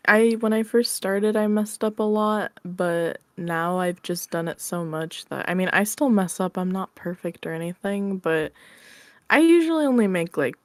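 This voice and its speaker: A woman's voice: mid-range voice, normal speed, dry recording. A woman chatting casually and informally as an interview guest. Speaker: interview guest, audio roleplay creator. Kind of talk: casual chat.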